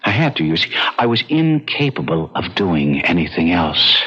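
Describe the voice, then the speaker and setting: A man's voice: low-pitched, big pitch swings, moderate pace, bright, forceful tone, breathy edge, heavily acted. An actor playing an earnest, obsessed, awkward man with hesitant, stammering intensity in an old-time radio drama. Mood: obsessed, pleading. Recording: muffled old radio broadcast.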